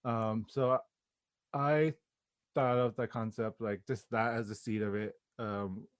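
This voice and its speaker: A man's voice: on the low side, fairly even intonation, takes it slowly, no echo. American man chatting casually, dry close sound. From Los Angeles, United States. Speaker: playwright, interview guest. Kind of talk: casual interview conversation.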